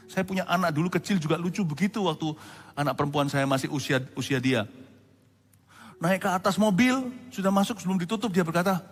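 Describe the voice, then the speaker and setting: Male pastor, 40s, high-pitched, lively storytelling sermon, reverberant hall. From Indonesia. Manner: animated storytelling, conversational asides to the congregation.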